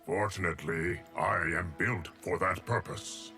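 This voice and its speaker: An actor playing an ancient, stoic immortal timekeeper in a video game, speaking in a measured, formal, grave manner. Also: male voice, low-pitched, fairly even intonation, bright, forceful tone, exaggerated voice. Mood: solemn. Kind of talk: video game character dialogue. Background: game music.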